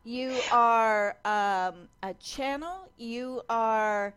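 A woman's voice: a little high, extremely slow, a bit bright, smooth. A woman hosting a talk radio show, speaking with enthusiasm. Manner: enthusiastic, upbeat.

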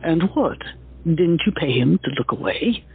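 Imperious, threatening lady, a bit high for a man, lo-fi man plays it. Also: expressive, measured pace, creaky, husky, heavily acted. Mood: accusing. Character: haughty, demanding young woman. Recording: lo-fi, phone-like, with music.

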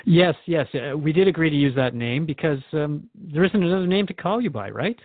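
Phone-like band-limited sound, actor as male radio host, slightly high voice, skeptical, curious, stammered restarts, radio drama interview. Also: lively intonation, fairly quick, dry recording. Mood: curious, probing. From Canada. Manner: hesitant, conversational, with stammered restarts and questions.

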